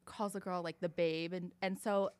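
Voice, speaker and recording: A woman's voice: on the low side, steady tone, normal speed, a little mellow, clean tone, dry recording. A young adult woman, a real estate agent guest, telling a story in casual chat, with many fillers.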